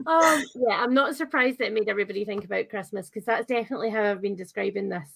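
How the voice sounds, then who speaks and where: A woman's voice: medium pitch, fast, gravelly, fairly bright tone, husky, no room sound. A woman hosting a casual live book chat, interviewing an author in a gushing, playful, bantering way.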